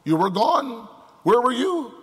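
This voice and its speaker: High-voiced male preacher in a reverberant hall. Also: big pitch swings, unhurried, husky. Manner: emphatic, with rhetorical questions and repetition. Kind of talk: sermon to a live congregation. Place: large indoor hall before a crowd. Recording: echoey hall with long reverb.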